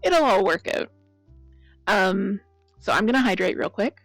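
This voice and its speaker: Croaky woman on a blown-out mic. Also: medium pitch, lively intonation, a little slow, no echo, faint music behind. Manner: chatty, rambling, greets viewers by name. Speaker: streamer. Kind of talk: casual stream chat.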